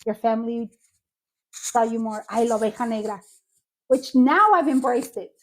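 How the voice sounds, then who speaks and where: A woman from Mexico, a podcast guest telling her story in casual, animated chat and slipping in Spanish phrases. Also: a little high, normal speed, poor audio.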